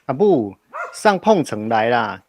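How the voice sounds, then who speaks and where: A woman's voice: a bass voice, very animated, slow, darkish tone, heavily acted. A woman playing a boisterous, teasing grown-up in a family radio drama, exclaiming theatrically with a Taiwanese accent. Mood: eager persuading.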